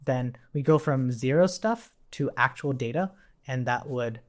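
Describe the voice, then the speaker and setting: A man's voice: mid-range voice, a little slow. A man giving a casual, step-by-step coding tutorial, thinking aloud and often checking in as he explains. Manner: informal, explanatory, often tags points with "right?". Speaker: programming tutorial instructor.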